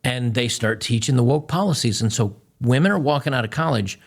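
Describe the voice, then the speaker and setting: A man's voice: mid-range voice, fairly quick. A man hosting, giving blunt, mocking commentary on video clips. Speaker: host.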